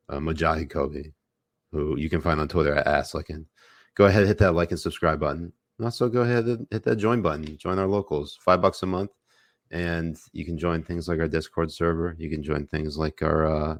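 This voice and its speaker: A low-voiced young man hosting a comedy podcast. His talk is crude, joking and rambling, full of restarts. The sound is close and dry, with no room around it. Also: no room sound. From United States. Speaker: podcast host. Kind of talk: casual comedic podcast banter.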